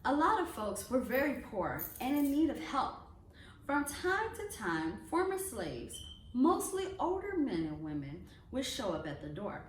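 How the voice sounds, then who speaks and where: High-voiced woman reading a storybook, echoey room. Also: huge pitch swings, unhurried. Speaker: storybook reader for children. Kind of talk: read-aloud of a children's picture book. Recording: echoey room, distant mic, faint noise.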